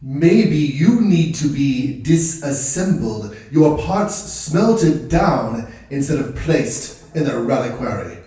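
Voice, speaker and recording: A man playing a calm, priestly counsellor with a hint of menace in a fantasy audio drama, speaking in a measured, formal way. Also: medium pitch. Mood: coldly menacing. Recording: cavernous echo, distant from mic.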